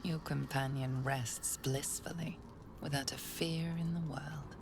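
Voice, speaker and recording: A woman narrating a video game in the second person, in a hushed, ominous, whispered voice. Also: extremely low pitch, fairly even intonation, measured pace, noisy background.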